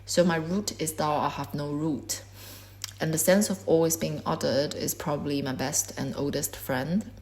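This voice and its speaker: A woman in her twenties whose first language is Korean gives a measured, reflective talk about her art. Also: low-pitched, fairly even intonation, a little mellow. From China. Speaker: artist. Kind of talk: prepared artist talk.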